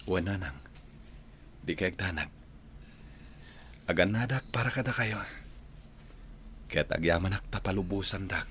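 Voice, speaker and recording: Man acting deep-voiced dutiful Ilocano son. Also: a little slow, pauses often, fairly bright tone, slight background noise. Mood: respectful, earnest. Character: respectful, dutiful grown son from a village. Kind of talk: radio drama acting.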